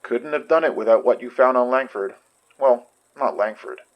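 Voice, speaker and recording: A woman's voice: a bass voice, moderate pace, lo-fi sound. A woman playing a plain-spoken paranormal investigator in an audio drama. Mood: matter-of-fact.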